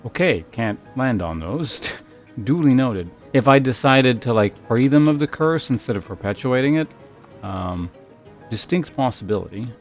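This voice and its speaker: A man's voice: medium pitch, animated, normal speed, soft background music. A man giving casual, rambling gameplay commentary as a streamer, thinking aloud as he plays. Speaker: game streamer.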